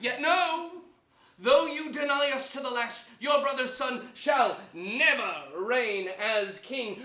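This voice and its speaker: Very forceful, high-voiced flattering nobleman. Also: exaggerated voice. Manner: theatrical, oratorical. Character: Buckingham, forceful flattering nobleman, fervent royal conspirator. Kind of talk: declamatory Shakespearean stage acting. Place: theatre stage before an audience. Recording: echoey theatre stage.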